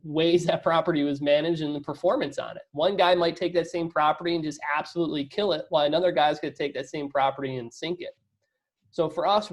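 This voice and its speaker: A man answering as a guest investor in a conversational business interview, talking emphatically and often checking in with rhetorical questions. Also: on the high side.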